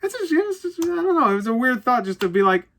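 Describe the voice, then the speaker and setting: A man's voice: very high voice, big pitch swings, fast, fairly bright tone. A man of thirty giving a casual, unscripted movie review as host, rambling conversationally and swearing casually.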